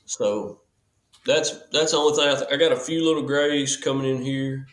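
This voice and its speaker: A man's voice: mid-range voice, steady tone, moderate pace, a bit bright. A man in his forties, a podcast guest, chatting casually in an easygoing, folksy way with frequent restarts. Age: 40s. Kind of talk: casual chat. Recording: echoey room.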